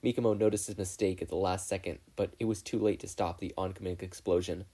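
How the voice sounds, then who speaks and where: A man's voice: low-pitched, steady tone, fast talker, dark tone, slightly rough. A man reading scripted story narration as an audiobook narrator.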